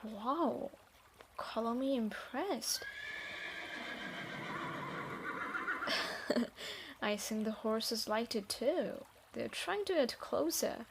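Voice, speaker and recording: A woman playing a guarded, troubled thief in a fantasy audio drama, speaking haltingly and reluctantly softening. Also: fairly high pitch, expressive, slight background noise. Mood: amused, softened. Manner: hesitant, sighing, halting delivery. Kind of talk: scripted roleplay audio drama.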